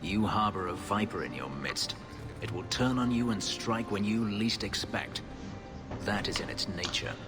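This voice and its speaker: An actor playing a brooding, bitter and proud escaped elf slave in a video game, speaking gravely and formally. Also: male voice, fairly low pitch, moderate pace, close to an everyday voice. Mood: stern, wary. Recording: music behind the voice.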